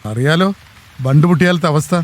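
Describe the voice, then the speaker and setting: A man playing a proud older farmer in a radio drama, with a Kerala Malayalam accent and a steady, fatherly way of talking. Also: a little high, brisk, natural voice. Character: proud aging farmer devoted to his land. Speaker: old farmer, husband and father.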